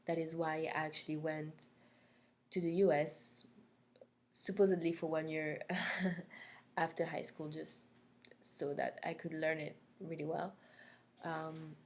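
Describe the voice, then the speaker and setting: French-accented woman with a creaky voice. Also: low voice, level tone, slow pace, long pauses. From Corsica, France. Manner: friendly, unscripted, with frequent ums and soft laughs. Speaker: online French instructor. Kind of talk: self-introduction to an online class.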